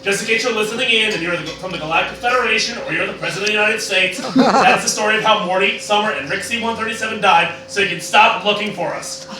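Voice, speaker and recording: A man's voice: high voice, fast, few pauses, a crowd in the background, natural voice. A man playing a brash, cynical, drunken old mad scientist, rambling sarcastically in a comic live radio play. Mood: cynical, boastful. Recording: very echoey, noisy live room, distant mic.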